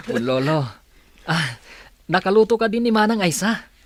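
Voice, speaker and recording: A man's voice: sits high, lively intonation, unhurried, no echo. A man playing an eager, cheerful young grandson in an Ilocano comedy radio drama, with an Ilocano accent. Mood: cheerful, excited.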